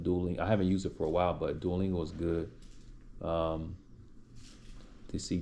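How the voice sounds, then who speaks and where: Man, very low voice, casual live stream host, rambling, lots of restarts, answers chat. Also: dark tone. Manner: rambling and loose, with many restarts and fillers; reads out and replies to chat names.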